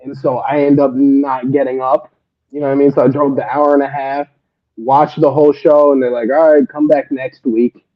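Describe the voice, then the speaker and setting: A man chatting as a comedy guest, telling loose stories and laughing often. His pitch sits in an ordinary middle range. The sound is dull and lo-fi, like a livestream call. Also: level tone, moderate pace. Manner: laughs often, rambling storytelling. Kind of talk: casual interview chat.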